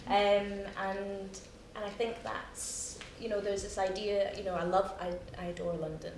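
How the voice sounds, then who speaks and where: A young woman with a Scottish accent, a playwright chatting in a post-show discussion, self-mocking and quick to laugh. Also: mid-range voice, little pitch movement, darkish tone. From Scotland. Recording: echoey room, distant mic, noisy.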